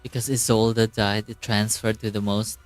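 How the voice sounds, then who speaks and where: A man's voice: on the low side, level tone, moderate pace, faint music behind. A man doing casual live game commentary, thinking aloud in an informal, exclamatory way. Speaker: streamer reacting to a game story.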